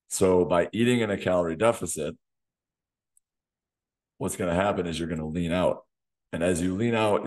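A man in his thirties, a fitness coach hosting a solo podcast, slightly hoarse from losing his voice, talking in a direct coaching way in a small home gym on a desk mic. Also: low-pitched, a little mellow. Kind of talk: solo podcast talk to camera. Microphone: desk condenser mic in front of him.

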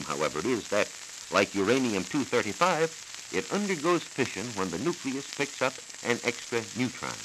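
An American man, a science reporter, explaining in a measured, formal, didactic way from a script on 1940s educational radio, on a hissy old transcription disc. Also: medium pitch. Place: radio studio.

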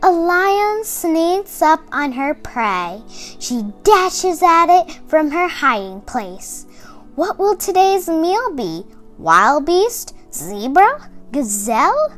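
A young girl reading a picture book aloud for children with careful, expressive, emphatic phrasing. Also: very high voice, slow pace, faint music behind. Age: child, about 8 to 11. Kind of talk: expressive read-aloud for kids.

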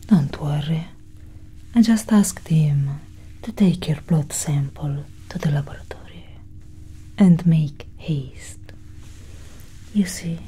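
Female alien abductor for sci-fi ASMR roleplay, played by a woman: very breathy whisper, unhurried, condescending yet curious, close to the mic. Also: deep voice, heavily acted. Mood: intrigued. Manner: slow, soft, condescending, intimate. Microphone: close microphone.

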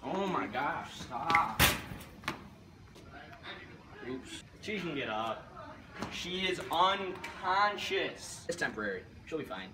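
A young man playing a self-absorbed high school student in an amateur comedy skit, talking in a casual, deadpan way. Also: takes it slowly, long pauses. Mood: flustered. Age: young adult. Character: self-absorbed high school student torn between bickering inner voices. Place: school stairwell, hallway and gym. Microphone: camera mic at a distance. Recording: echoey school halls, distant mic, loud room noise.

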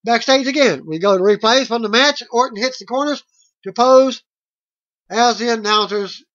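A middle-aged man reading out a wrestling results recap as host, in a halting way, on a webcam mic at home. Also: extremely high pitch, a bit bright. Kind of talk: read-out play-by-play results recap. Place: small room at home, indoors. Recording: dull lo-fi webcam audio.

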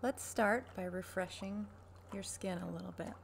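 A woman in her thirties speaking softly, quietly and soothingly in a creaky voice, as a barber in an ASMR personal-attention roleplay. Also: medium pitch, moderate pace. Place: small furnished room at home, indoors. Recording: noisy webcam-style home recording.

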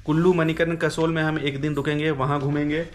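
A man's voice: on the high side, little pitch movement, fairly quick. A man talking casually to viewers as a travel vlogger, informal and colloquial. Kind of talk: casual vlog chat to camera.

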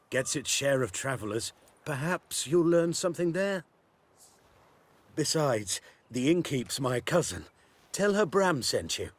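An actor playing a grateful, chatty village trader in a fantasy video game. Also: male voice, medium pitch.

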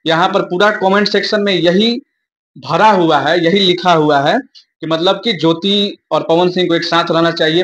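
A man's voice: on the high side, fairly bright tone. A young man giving informal news commentary to viewers in an emphatic, repetitive explaining manner. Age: young adult.

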